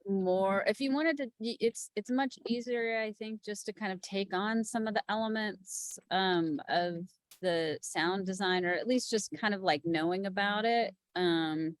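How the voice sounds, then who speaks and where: Woman panelist, mid-pitched, informal and loosely phrased, recorded dry. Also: unhurried, no room sound. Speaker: podcast executive producer, panelist. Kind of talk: casual panel talk.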